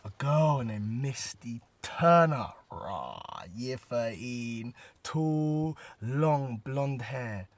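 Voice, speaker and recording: An actor playing a cocky, slangy, evasive teenage informant and small-time dealer with an urban London-area British accent in a radio drama. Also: male voice, medium pitch, animated, drawn-out words, hardly any pauses, no echo. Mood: gossipy, smirking.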